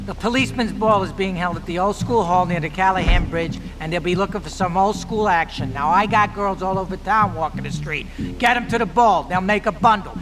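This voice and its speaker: An actor playing a sleazy, pushy mob boss giving orders. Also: male voice, sits high, background noise. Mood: bossy. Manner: brash, demanding, fast-talking.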